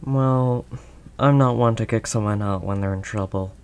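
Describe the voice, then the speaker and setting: A man playing a protective older male werewolf loner, gentle and conversational, in an intimate audio roleplay. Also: fairly low pitch, moderate pace, smooth, natural voice. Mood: sympathetic, reassuring. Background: rain ambience sound effects.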